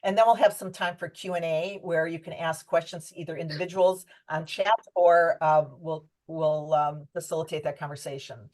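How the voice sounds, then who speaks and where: A woman with a lowish voice runs an online panel over a video call. She talks in a chatty way, with frequent fillers and restarts. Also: fairly even intonation, normal speed, few pauses, a little mellow. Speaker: event host.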